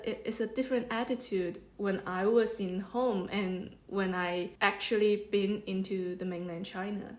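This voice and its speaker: A woman's voice: medium pitch, a little mellow. A young woman from Macau whose first language is Cantonese, co-hosting a podcast in English and talking hesitantly with lots of fillers. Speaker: podcast co-host. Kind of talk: podcast discussion. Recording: roomy echo.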